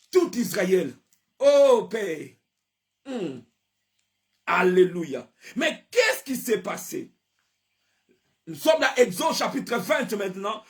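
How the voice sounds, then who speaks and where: A man with a Congolese accent preaching an impassioned sermon, repeating phrases emphatically and often raising his voice to a shout. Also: very high-pitched. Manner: switches between French and Lingala. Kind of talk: fervent sermon with scripture reading.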